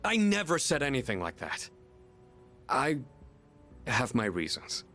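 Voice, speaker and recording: Serious high school senior in a video game, medium pitch, guarded and terse, some music behind. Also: male voice, wildly swooping pitch, measured pace, sharp tone, full character voice.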